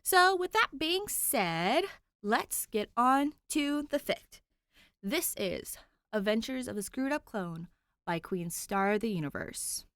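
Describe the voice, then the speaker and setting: A woman's voice: on the high side, lively intonation, takes it slowly, fairly bright tone, no echo. A woman hosting and narrating a fan fiction reading, close to the microphone. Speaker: host and reader of a fan fiction podcast. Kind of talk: audiobook-style story narration with chatty host intro.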